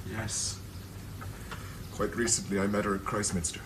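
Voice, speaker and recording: A man's voice: high-pitched, very animated, normal speed. An actor playing a guilt-ridden, devout married young man in love in a period drama, with earnest, anguished, formal diction and faint noise behind. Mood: ashamed. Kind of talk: period radio drama acting.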